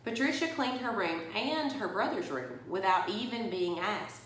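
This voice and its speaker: A woman's voice: medium pitch, lively intonation, normal speed, a bit bright, heavy reverb, slight background noise, rough recording. A woman reading a children's bedtime story aloud, expressively and directly to young listeners. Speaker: story reader for a church.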